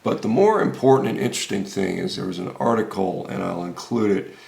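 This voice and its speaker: American male vlogger, fifties, low-pitched with heavy fry, halting unscripted commentary. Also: a little mellow. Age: 50s. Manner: halting, with frequent hesitations and repeated words. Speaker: health vlogger. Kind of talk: informal unscripted video commentary. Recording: roomy, echoey room sound.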